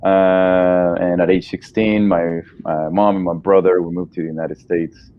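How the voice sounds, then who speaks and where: A man's voice: low-pitched, level tone, a little slow, highs cut off, faint music behind. A man in his thirties from El Salvador, a native Spanish speaker, answering interview questions in a casual, friendly, informal way. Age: 30s. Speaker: guest, breast cancer surgeon.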